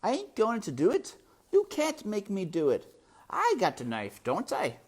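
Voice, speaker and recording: A man voicing a simple young servant girl in a storybook, plaintive and loud, with uneducated speech. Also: high-pitched, huge pitch swings, normal speed, pitched above the performer's own voice, heavily acted. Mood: defiant. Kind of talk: storybook character voice.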